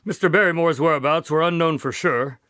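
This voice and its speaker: A man playing an earnest, polite doctor and detective's partner in a radio drama, measured in manner. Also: on the high side, a bit bright, no echo, clean studio sound, lightly acted. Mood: recounting.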